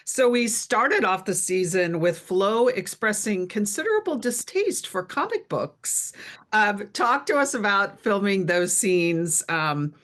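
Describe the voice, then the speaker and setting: A woman's voice: fairly low pitch, fairly bright tone. A woman co-hosting a casual podcast interview, putting questions to a guest in a conversational way.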